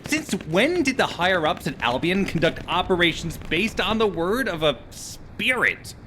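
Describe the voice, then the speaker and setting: A man's voice: on the high side, pushed and bright, slight background noise, heavily acted. A man playing a cocky, resentful super-soldier in a game cutscene, theatrical, sarcastic and scornful. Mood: exasperated, sarcastic. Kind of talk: scripted game cutscene acting.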